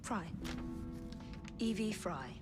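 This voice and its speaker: Young assassin, lively high voice, poised, direct, loud music. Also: female voice, drawn-out words. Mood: calm. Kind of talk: video game cutscene acting.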